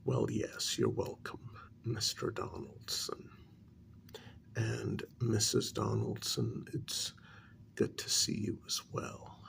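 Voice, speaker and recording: A man playing a patient middle-aged couples therapist in a soft ASMR role-play, speaking low, often near a whisper, in a measured, halting, placating way. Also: big pitch swings, gravelly, heavily acted. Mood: gentle, patient.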